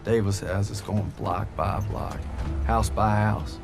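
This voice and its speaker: An actor playing a weary, earnest young American infantry private with a gravelly voice in a war game. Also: male voice, on the low side, steady tone, slow pace, music in the background. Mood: weary, reflective. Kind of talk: cinematic war game acting, letter-like narration and dialogue.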